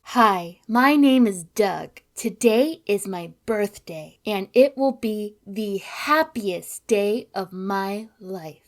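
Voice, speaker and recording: A woman voicing a simple, friendly talking dog in a cartoon, speaking slowly and plainly. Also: medium pitch, expressive. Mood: earnest. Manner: slow, plain, earnest.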